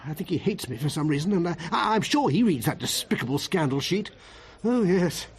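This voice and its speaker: An actor playing a flustered, indignant English barrister in a radio comedy drama, stammering and plaintive. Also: male voice, fairly high pitch, expressive, a little fast. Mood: anxious, plaintive. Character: flustered, indignant male barrister, anxious and hapless.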